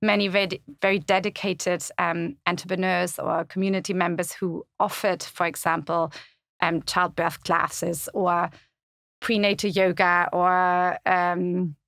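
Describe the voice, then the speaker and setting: A woman with a German accent, a guest on a podcast, who talks in a thoughtful way with many ums and pauses. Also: fairly low pitch, little pitch movement, vocal fry, a bit bright, no echo, clean studio sound. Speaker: guest, founder of a community website. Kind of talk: casual interview chat. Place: podcast studio.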